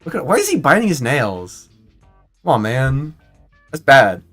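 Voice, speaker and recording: Twenties male streamer, casual and rambly, lively pitch, faint music under the voice. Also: a little slow. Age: 20s. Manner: rambling, excitable, lots of restarts and fillers.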